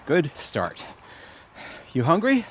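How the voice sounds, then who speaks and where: A man's voice: on the high side, wildly swooping pitch, takes it slowly, fairly bright tone, breathy edge, exaggerated voice. A man playing a grumbling, sardonic middle-aged husband in an audio theatre comedy. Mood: dry.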